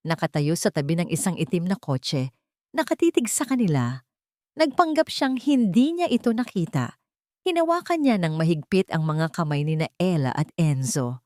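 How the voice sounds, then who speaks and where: A woman's voice: lowish voice, a little mellow, no room sound, studio quality. A woman with a Filipino accent narrating a scripted romantic drama as a storyteller.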